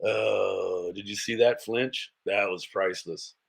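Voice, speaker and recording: A man's voice: on the low side, steady tone, slow pace, gravelly, slightly rough. An American man hosting a casual livestream, giving blunt, mocking, sweary reaction commentary. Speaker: host of a livestream commentary show.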